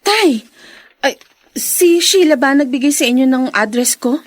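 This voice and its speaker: A high-pitched young Filipina mistress with secrets to keep. She pleads and evades in heightened radio drama style. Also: animated, a little slow. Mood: evasive, defensive.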